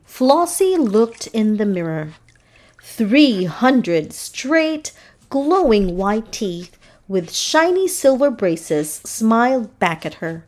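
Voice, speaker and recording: A woman narrates a children's story in an expressive read-aloud style. Her pacing is extremely slow and deliberate, and her pitch moves in lively, dramatic swings.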